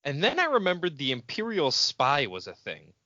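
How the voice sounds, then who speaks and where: Male podcast co-host, bright forceful voice, sweary and theatrical fan chat, close dry but slightly dull recording. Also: on the high side, wildly swooping pitch, normal speed, dry recording. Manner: sweary, playfully melodramatic.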